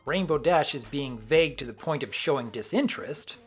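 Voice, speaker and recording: A man's voice: mid-range voice, animated, fairly quick, slightly rough, faint music behind. A man giving semi-scripted review commentary as the host of a video.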